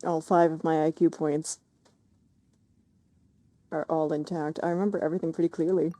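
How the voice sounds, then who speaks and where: A woman's voice: low voice, little pitch movement, normal speed, warm tone, no room sound. A woman game streamer casually reading along and reacting over gameplay.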